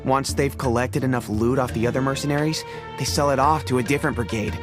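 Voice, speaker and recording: A man's voice: mid-range voice, fairly even intonation, fast, lightly acted. An actor playing a friendly male mercenary scout who briefs newcomers in a chatty, easy way. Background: guitar music.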